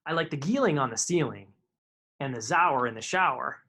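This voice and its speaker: A man's voice: mid-range voice, expressive, fairly quick, creaky, pushed and bright. A man reading children's picture books aloud in a live storytime, with informal asides to his young listeners.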